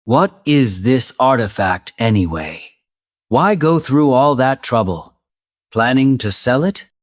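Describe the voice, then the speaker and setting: A man's voice: medium pitch, expressive, takes it slowly. A man voicing a young Filipino man who guards the way to a hidden kingdom, talking in a teasing, skeptical way. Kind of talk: amateur animated voice acting.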